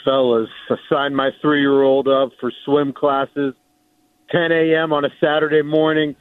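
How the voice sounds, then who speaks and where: A man's voice: a little high, little pitch movement, unhurried, clear, resonant tone, no room sound. A man phoning in to a radio show with a casual anecdote over a slightly muffled line. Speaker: radio call-in listener. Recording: slightly muffled phone call, dry.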